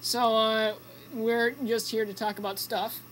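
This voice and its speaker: A young co-host with a mid-pitched voice and faint room noise behind. They banter casually on an amateur comedy radio show, hesitant and unpolished like a sidekick. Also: little pitch movement, unhurried, fairly bright tone, rough recording. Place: bedroom home studio.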